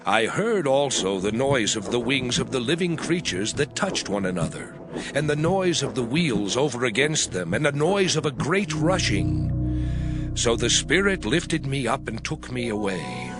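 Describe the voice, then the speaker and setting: Expressive, awed prophet over music. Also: male voice, mid-range voice. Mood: solemn wonder. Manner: solemn, expressive, measured. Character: Ezekiel, earnest awed male prophet telling his vision. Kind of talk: dramatized scripture narration.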